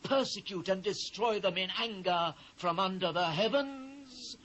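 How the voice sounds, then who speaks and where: A man's voice: sits high, unhurried, a bit bright. A British man playing an anguished biblical prophet in a dramatised scripture reading, solemn, declamatory and impassioned. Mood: crying out, bitter. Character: anguished male biblical prophet lamenting his ruined people. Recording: faint noise, old cassette transfer.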